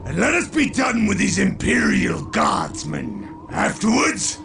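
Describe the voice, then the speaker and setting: Bloodthirsty chaos warlord for a game, super gravelly, high strained shouting, bombastic and arrogant. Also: male voice, huge pitch swings, measured pace, over music, exaggerated voice. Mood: scheming, grandiose. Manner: bombastic, menacing, declamatory. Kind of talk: theatrical video game voice acting.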